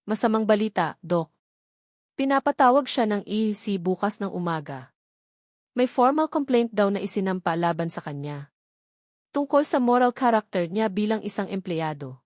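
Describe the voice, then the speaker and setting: A woman's voice: mid-range voice, animated, normal speed, darkish tone, no room sound, clean studio sound, natural voice. A woman voicing a concerned senior nurse in a story, grave in manner, with a Filipino accent. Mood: worried. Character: worried, sighing female senior nurse.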